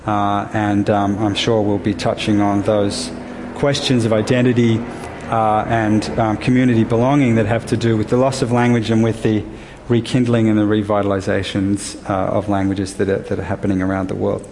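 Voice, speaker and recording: A man moderating a panel, introducing it unscripted in a measured, hesitant way. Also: fairly low pitch, level tone. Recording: echoey hall, faint background noise.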